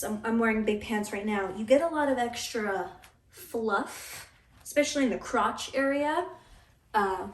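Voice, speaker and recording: A young woman in her twenties talking in a casual vlog, dry and deadpan, griping with stammered restarts. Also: a little high. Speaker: vlogger. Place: apartment room. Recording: echoey room, mic at a distance.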